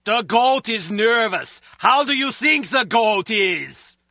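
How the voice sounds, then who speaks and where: Exasperated male scientist for a game: high, sharp voice, sarcastic, testy delivery. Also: lively intonation, takes it slowly, no room sound, studio quality, full character voice. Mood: irritated. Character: exasperated male scientist bickering with his colleague. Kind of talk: animated video game acting.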